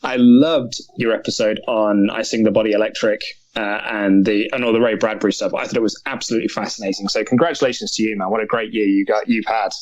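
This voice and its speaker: A man's voice: lowish voice, fairly even intonation, fast, few pauses. A man chatting casually as a returning guest on a podcast. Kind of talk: casual chat. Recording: dry, dull lo-fi voice track.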